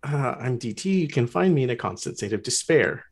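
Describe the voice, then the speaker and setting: A man playing on a game stream, talking with deadpan gloomy humor. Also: medium pitch, a little fast, vocal fry. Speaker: player. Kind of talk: casual chat.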